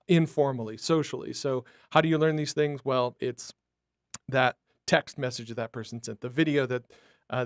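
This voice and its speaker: A man being interviewed as a startup founder, telling his story in a lively, talkative way. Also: mid-range voice, clean tone, no echo, studio quality. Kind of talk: conversational interview.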